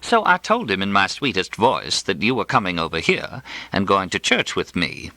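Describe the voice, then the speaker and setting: An arch, disapproving mother in an audiobook, acted out by a male reader. The delivery is pointed and gossipy, with sarcastic stresses and lively swings in pitch, recorded dry and close. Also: normal speed, no echo, exaggerated voice. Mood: dark, pointed. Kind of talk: audiobook character dialogue.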